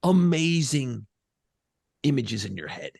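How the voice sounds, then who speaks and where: A man's voice: medium pitch, sing-song, takes it slowly, creaky, a little mellow, no room sound, studio quality. A middle-aged man co-hosting a podcast, telling rambling, profane stories in a laid-back way. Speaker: podcast co-host and pirate tour guide. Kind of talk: casual podcast chat.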